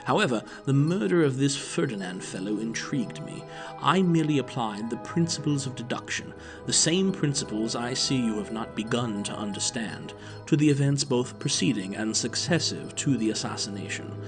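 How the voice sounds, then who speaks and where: An actor playing an aging, cerebral detective, aloof and superior, with precise, clipped speech in an audio drama. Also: male voice, medium pitch, lively intonation, moderate pace, over music, close to an everyday voice. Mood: aloof, musing.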